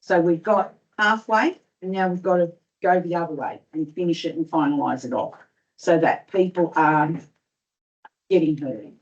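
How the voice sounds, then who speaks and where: A woman councillor arguing her point in a council meeting, her voice going hoarse. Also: on the low side, normal speed. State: losing her voice. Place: council chamber. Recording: dull lo-fi meeting audio.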